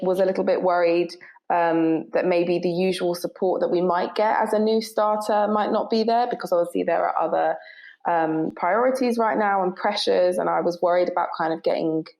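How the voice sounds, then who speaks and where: A woman's voice: on the low side, normal speed, vocal fry, darkish tone. A woman in her twenties with a southern English accent, talking casually and reflectively as a podcast guest. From south of England. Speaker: podcast guest, newly qualifying nurse. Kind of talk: casual reflective interview talk.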